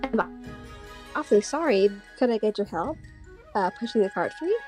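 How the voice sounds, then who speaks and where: A woman's voice: a little high, expressive, moderate pace, warm tone, close to an everyday voice. A woman voicing an apologetic, polite young girl traveler in a visual novel game, speaking hesitantly. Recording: music behind the voice.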